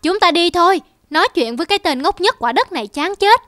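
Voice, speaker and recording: A snobbish cartoon rabbit, speaks very high. Its manner is mocking and scornful, with music clearly underneath. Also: female voice, expressive, quick pace, fairly bright tone. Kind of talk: cartoon fable voice acting.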